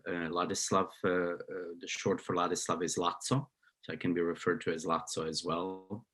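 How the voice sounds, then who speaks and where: A man's voice: sits low, level tone, moderate pace, a bit bright, husky. A middle-aged man from Slovakia with a Slovak accent, giving a hesitant recorded lecture as a psychology professor, with many fillers and restarts.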